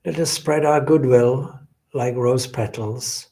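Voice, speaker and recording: A man guides a meditation in a mid-pitched voice. He speaks very slowly and deliberately, leaving long pauses between phrases. His delivery is gentle and reverent, like that of a spiritual teacher. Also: fairly even intonation, creaky. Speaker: swami leading a guided meditation.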